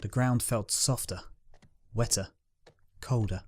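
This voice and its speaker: A man's voice: lowish voice, fairly even intonation, takes it slowly. A man narrating a dramatic scripted story as its reader.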